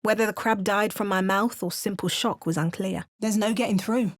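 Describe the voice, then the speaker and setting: A woman plays a wry female game adventurer at a mid-range pitch. She gives understated remarks and calm storybook narration. It is recorded close and dry in a studio. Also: moderate pace, no room sound, lightly acted. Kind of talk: video game voice acting. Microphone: close studio mic.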